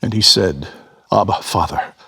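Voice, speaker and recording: A man with a husky voice giving a solemn, expressive dramatic reading of scripture as a voice actor. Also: low voice, measured pace, a little hiss or hum.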